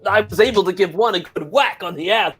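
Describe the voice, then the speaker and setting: A man's voice: high voice, fairly quick, bright, forceful tone, breathy edge, full character voice. An actor playing a rowdy male football fan who narrates coarsely and boastfully.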